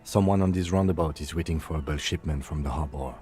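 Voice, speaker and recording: A calm, reserved police lieutenant reports in a very low, dark, mellow voice. He is crisp and formal, and faint music sits behind him. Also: fast talker. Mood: businesslike. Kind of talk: scripted game dialogue.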